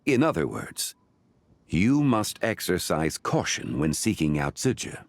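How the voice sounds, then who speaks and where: Deep, formal ancient sage. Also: male voice, big pitch swings, no echo, exaggerated voice. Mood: grave, deliberate. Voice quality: deep, measured. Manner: formal, deliberate, archaic phrasing. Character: calm, learned, ancient male sage in a fantasy game. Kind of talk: scripted video game dialogue.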